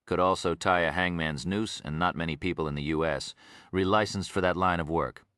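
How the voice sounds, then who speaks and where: A man's voice: low voice, steady tone, brisk, a bit bright, no echo, clean studio sound. A man voicing a retired Texan prison officer in an audiobook, an older man with a folksy, rambling drawl. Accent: Texas.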